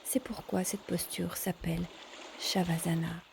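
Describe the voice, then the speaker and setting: A woman teaching yoga in a calm guided instruction style, measured, pausing between short phrases. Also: lowish voice, gravelly, noisy background.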